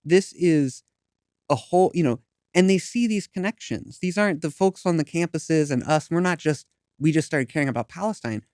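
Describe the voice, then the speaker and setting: A man's voice: on the high side, animated, normal speed, darkish tone, dry recording, studio quality. A man in his thirties, an activist guest in an interview, speaking earnestly and emphatically with some stumbles. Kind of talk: impassioned interview talk.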